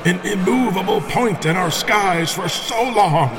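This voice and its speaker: A man's voice: high-pitched, noisy background. A man playing a flamboyant, bombastic ring announcer in an audio drama, drawing out his words like a showman. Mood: showy, hyped. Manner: bombastic, drawn-out showman delivery. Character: flamboyant male arena ring announcer at a deathmatch.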